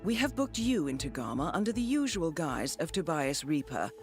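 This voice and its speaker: An actress playing a poised, steely intelligence handler in a video game, speaking formally and precisely with cool authority. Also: female voice, low-pitched, very animated, moderate pace, faint music behind. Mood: cool, resolute. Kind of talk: scripted mission briefing and dramatic game dialogue.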